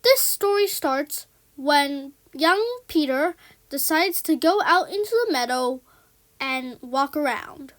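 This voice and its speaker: High-voiced woman slowly narrating a children's tale. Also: expressive, fairly bright tone. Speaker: narrator and storyteller. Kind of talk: scripted children's story narration. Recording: music under the voice.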